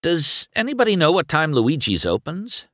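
A man plays a young race car hero in a storybook, cocky yet kind. The talk is playful and easygoing, with a lifted, lively pitch and a close, dry sound. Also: moderate pace, no echo, full character voice.

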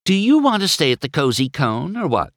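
A man's voice: mid-range voice, wildly swooping pitch, a little slow, a bit bright, no room sound, clean studio sound, voice pushed up. A man voicing a blunt, nonchalant young female car with a teasing temper in a children's storybook.

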